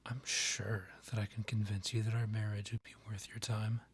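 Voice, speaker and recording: A man playing a courtly, lovestruck vampire king in a romantic ASMR roleplay, half-whispering in a breathy voice with formal, pleading phrasing. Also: lowish voice, flat delivery, moderate pace. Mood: courtly, persuasive. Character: lovestruck male vampire king, courtly, yearning, tender suitor.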